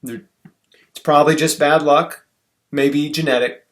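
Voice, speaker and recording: A man in his thirties or forties hosting a conversational interview, phrasing his thoughts haltingly. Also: on the high side, steady tone. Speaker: interview host. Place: home room indoors. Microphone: video call, desk distance.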